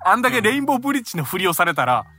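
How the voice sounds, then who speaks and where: A man's voice: sits high, big pitch swings, rapid-fire, over loud music. A man with a Kansai accent co-hosting a casual podcast, joking and laughing with his friends. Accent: Kansai Japanese. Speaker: podcast co-host. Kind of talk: casual chat.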